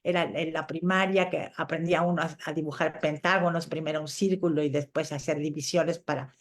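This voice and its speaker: A middle-aged woman with a slightly low voice gives casual interview answers. She grew up in Chile and Mexico and talks in a conversational, anecdotal way, as if retelling memories. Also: fairly even intonation, brisk. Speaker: interviewed scientist guest.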